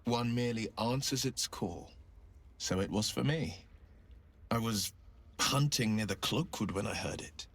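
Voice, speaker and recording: Low-voiced gallant heroic man. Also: measured pace, gravelly, fairly bright tone, no room sound, full character voice. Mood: earnest, solemn. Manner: theatrical, earnest storyteller. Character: gallant, earnest male monster-hunting folk hero. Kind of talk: fantasy video game acting.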